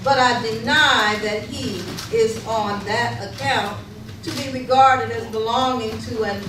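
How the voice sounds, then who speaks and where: A woman playing a resolute Civil War general in a readers theater, in a deliberate, declamatory speech. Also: on the high side, fairly even intonation, close to an everyday voice. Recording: distant, echoey hall, clear noise.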